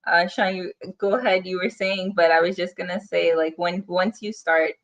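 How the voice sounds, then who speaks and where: A woman's voice: lowish voice, fairly even intonation, moderate pace. A woman in her thirties with a Guyanese accent, a guest chatting casually in an interview and laughing easily.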